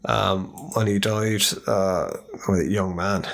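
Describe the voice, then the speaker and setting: Man, rambling podcast co-host, animated, swears, acts out quotes. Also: sits low, slow, creaky. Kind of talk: casual chat.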